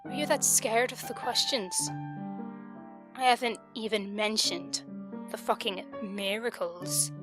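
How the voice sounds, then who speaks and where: Scathing female skeptic, played by a woman, fairly high voice, articulate, accusatory, sweary. Fan-dub drama with clear music underneath. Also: measured pace, close to an everyday voice. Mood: angry, defiant. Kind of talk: amateur fandub voice acting. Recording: music behind the voice.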